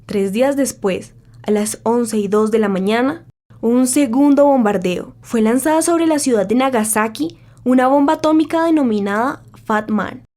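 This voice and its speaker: A woman's voice: a little high, moderate pace, darkish tone, lightly acted. A woman playing a solemn radio newsreader of the 1940s, delivering scripted war news in a formal, grave, dramatic way.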